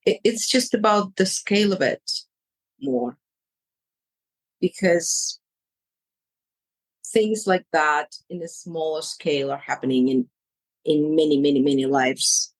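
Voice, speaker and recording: A woman with a Ukrainian accent, a coach speaking in an interview, choosing her words slowly with many pauses. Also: deep voice, steady tone, poor audio. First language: Ukrainian or Russian. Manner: slow and searching, pausing between words. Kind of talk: reflective interview answers.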